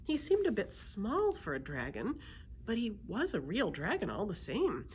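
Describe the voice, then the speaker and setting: A woman reading a children's book aloud as a library storyteller, in an expressive storytelling manner. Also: mid-range voice, normal speed, slight background noise.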